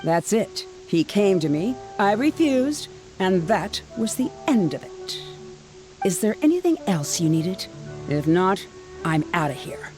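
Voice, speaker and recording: A woman's voice: medium pitch, very animated, unhurried. A woman playing a sarcastic, resentful young female researcher in a video game. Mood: dismissive. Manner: sarcastic, mocking. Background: game music.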